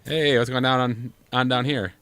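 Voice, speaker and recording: A man's voice: medium pitch, fairly even intonation, normal speed, a bit bright, clear, resonant tone. A man co-hosting a gaming show with casual, playful, wisecracking commentary. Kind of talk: casual joking let's play commentary.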